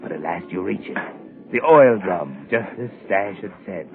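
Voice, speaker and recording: Low, ominous radio suspense narrator. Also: male voice, animated, moderate pace. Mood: grim, taunting. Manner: fatalistic, insinuating, taunting. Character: ominous, knowing male narrator taunting the doomed. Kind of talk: 1940s radio suspense narration in second person. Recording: muffled vintage 1940s radio, music behind.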